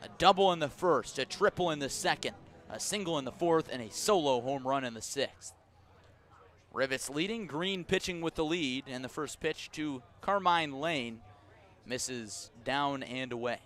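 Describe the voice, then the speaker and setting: Guy doing live baseball radio play by play, medium pitch, steady delivery that builds on the action, at the ballpark. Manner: measured between pitches, rising to excited calls on action. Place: outdoor ballpark broadcast.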